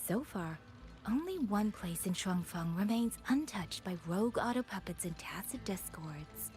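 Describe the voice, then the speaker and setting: A woman plays a composed young strategist in a video game. Her medium-pitched voice is earnest and analytical, with a loyal, thoughtful air. Soft music sits behind her. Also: expressive, a little slow, natural voice. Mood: thoughtful concern. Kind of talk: video game dialogue acting.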